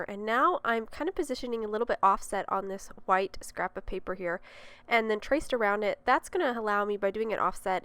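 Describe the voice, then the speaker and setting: A woman's voice: mid-range voice, fairly bright tone, dry recording. Congested, chatty woman craft tutor. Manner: chatty and upbeat, explaining as she works. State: congested, slightly sick. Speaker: card-making tutorial host. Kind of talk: step-by-step craft voice-over.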